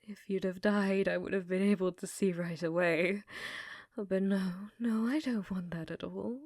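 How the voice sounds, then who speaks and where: A woman playing an obsessive, flirtatious scientist in an intimate ASMR roleplay, with soft breathy speech and precise clinical phrasing. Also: medium pitch, a little slow, no echo, clean studio sound. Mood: obsessive, longing. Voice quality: soft, breathy, often whispered.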